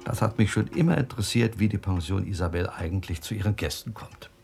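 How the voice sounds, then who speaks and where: A man's voice: on the low side, fast talker, creaky, darkish tone, soft background music. An actor playing a curious, polite man making conversation in a radio drama. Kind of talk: German radio drama acting.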